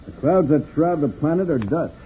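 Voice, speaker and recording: Animated space hero on scratchy old radio male actor. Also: on the high side, moderate pace, muffled recording, close to an everyday voice. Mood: assured, explanatory. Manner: patient, instructive, authoritative. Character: confident, kindly male space pilot hero, patiently explaining. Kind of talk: 1950s radio serial acting.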